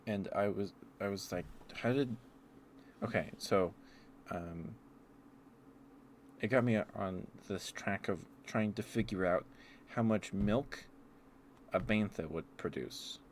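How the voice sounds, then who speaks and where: A man's voice: fairly low pitch, slow pace, long pauses, a little hiss or hum. A man chatting in a meandering, casual way as he hosts an ASMR livestream. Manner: meandering, often chuckling to himself. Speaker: ASMR streamer, host. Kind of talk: rambling ASMR livestream chat.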